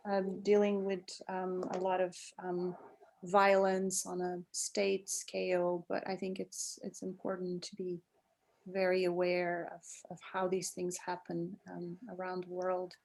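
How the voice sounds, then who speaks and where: A woman's voice: on the low side, monotone, a little slow, creaky, darkish tone, poor audio. Hesitant woman from Portugal on a call. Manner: hesitant, many ums and restarts. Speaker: guest. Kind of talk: casual video-call chat. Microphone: video call.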